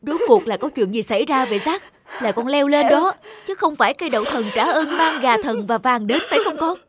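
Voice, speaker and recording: A woman playing a stern but loving peasant mother in a children's cartoon, dramatic and expressive. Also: high voice, brisk, husky. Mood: worried.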